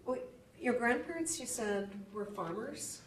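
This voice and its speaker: A woman's voice: fairly high pitch, animated, unhurried, far from the mic, slight background noise. Woman interviewer, echoey and off-mic. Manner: polite, formal questions. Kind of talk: oral history interview.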